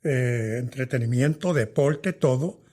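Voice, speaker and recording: An older man, a veteran journalist, answering interview questions in a thoughtful, hesitant way that often trails off softly. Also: mid-range voice, a little slow. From New York.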